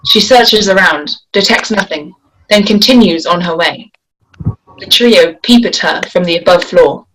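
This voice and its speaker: A woman narrates a script at a table read, delivering the stage directions. Her voice sits a little lower than average. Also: a little slow, fairly bright tone, a little hiss or hum, poor audio.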